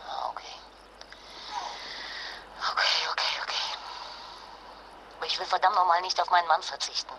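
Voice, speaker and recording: A woman's voice: fairly low pitch, fairly even intonation, moderate pace, pauses often, in a whisper, lightly acted, poor audio. An actress playing a determined, insistent wife and mother in a naturalistic radio drama, blunt and urgent. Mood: jealous, hurt. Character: determined, jealous wife and mother fleeing nuclear fallout.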